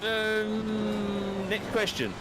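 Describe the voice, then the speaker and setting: A Cockney man in his fifties from East London, commentating a sim race live in a chatty, excitable way, swearing casually. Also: high voice, extremely slow, very noisy. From East London, England. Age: 50s. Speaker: race broadcast commentator and streamer.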